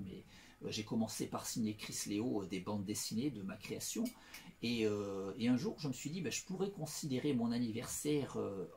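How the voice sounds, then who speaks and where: A man's voice: medium pitch, a little mellow, slight background noise. A middle-aged man talking through a meandering personal monologue, full of restarts, fillers and repeated phrases. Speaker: self-styled philosopher.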